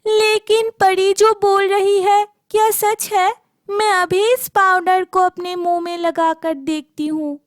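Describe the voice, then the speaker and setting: Woman acting a very high girlish voice, slow delivery, wronged village girl turned vengeful, animated storybook cartoon. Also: dry recording, clean studio sound. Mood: wondering.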